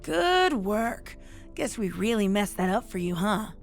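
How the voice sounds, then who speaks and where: A woman playing a blunt, sardonic young dead pony with a gravelly edge in a fan audio drama. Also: mid-range voice, very animated, unhurried, faint music behind. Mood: annoyed. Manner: sarcastic, blunt.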